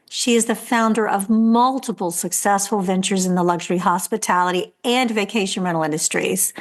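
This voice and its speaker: A woman's voice: mid-range voice, a bit bright. A woman hosting a podcast, introducing and interviewing her guest in an upbeat, emphatic, effusive way. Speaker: podcast host.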